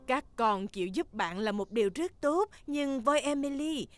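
High-voiced, kindly cartoon gazelle teacher. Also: female voice, expressive, normal speed. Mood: kindly, encouraging. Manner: encouraging, addressing small children. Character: kindly female gazelle schoolteacher of small children. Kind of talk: children's cartoon dubbing. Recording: music bed under the voice.

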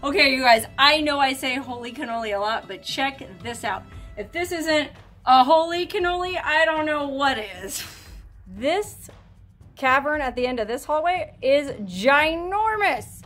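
A young woman vlogging as she explores a narrow cave, chatty and full of excited exclamations, recorded on a handheld camera. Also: high voice, unhurried, soft background music. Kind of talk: casual vlog narration.

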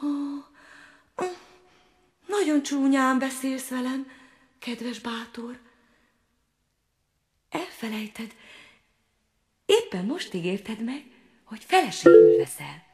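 A woman voicing a gentle little female frog who speaks softly and coaxingly, at times in a whisper. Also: on the high side, expressive, long pauses, echoey room, pitched above the performer's own voice. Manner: soft, hushed, at times whispering.

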